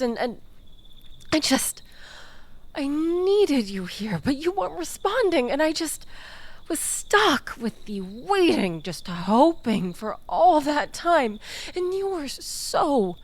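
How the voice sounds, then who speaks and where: Woman acting a slow, high-voiced, manipulative ex-girlfriend. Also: darkish tone, full character voice. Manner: halting, dramatic, sighing, sliding into breathy whispers. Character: manipulative, tipsy, pleading ex-lover woman. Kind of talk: intimate audio roleplay acting.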